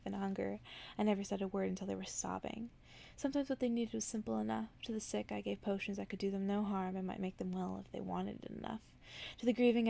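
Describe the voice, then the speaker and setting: A woman softly reading a bedtime story in an ASMR style, hushed and gentle, slipping into whispers. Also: mid-range voice, gravelly. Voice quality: soft, partly whispered. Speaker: ASMR narrator.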